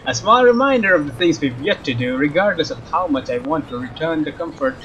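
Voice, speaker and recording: A man narrating a visual novel as a let's player, expressive and informal, with casual asides. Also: a little high, normal speed, a little hiss or hum. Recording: dull lo-fi sound, music behind. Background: game music with guitar.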